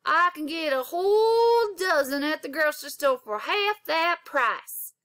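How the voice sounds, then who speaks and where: A woman's voice: high-pitched, animated, measured pace, a bit bright, dry recording, clean studio sound, voice pushed up. A woman voicing a friendly old country man with a Southern accent in a children's storybook read-aloud. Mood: grumbling, unimpressed. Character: friendly old country man, straw-hatted market customer.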